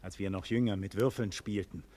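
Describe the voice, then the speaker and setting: A man's voice: low-pitched, steady tone, fairly quick, a little mellow, lightly acted. An actor playing a proud, defiant condemned nobleman, indignant and bitter, declaiming in formal theatrical style in a classic German radio play. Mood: bitter, wounded pride. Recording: long reverberant hall, radio drama production.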